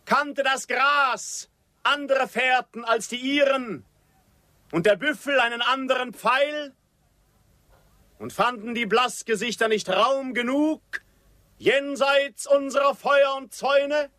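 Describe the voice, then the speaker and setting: A fiery Apache orator in a radio drama. The voice is very high and bright, proclaiming slowly and solemnly in theatrical, declamatory phrases to rally warriors. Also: male voice, dry recording, exaggerated voice.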